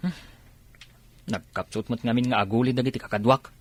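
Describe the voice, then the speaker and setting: A man's voice: mid-range voice, steady tone, brisk, close to an everyday voice. A man playing a grumbling young fruit picker who banters with his cousin in a Filipino-accented radio drama. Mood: teasing, indignant.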